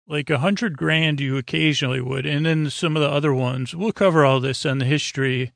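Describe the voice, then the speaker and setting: Creaky-voiced man rambling gently off topic. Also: a little high, steady tone, no room sound. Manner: meandering, halting, repeats and trails off mid-thought; whimsical, silly tangents. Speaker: podcast host. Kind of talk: rambling bedtime podcast monologue.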